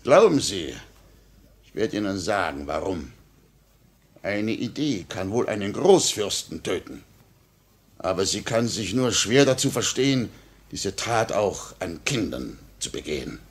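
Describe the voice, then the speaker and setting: A cynical police chief in a vintage 1950 radio play. His voice is fairly low and swings widely in pitch. He is suave and theatrically measured, coaxing one moment and mocking the next. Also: big pitch swings, heavily acted. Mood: insinuating.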